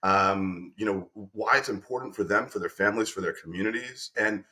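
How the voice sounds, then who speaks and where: An enthusiastic male podcast host with a lowish voice talks warmly and eagerly. He often stumbles and restarts, piling up praise as he introduces his guest. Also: brisk. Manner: energetic, restarts often, effusive. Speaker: podcast host and company representative. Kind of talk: enthusiastic interview hosting.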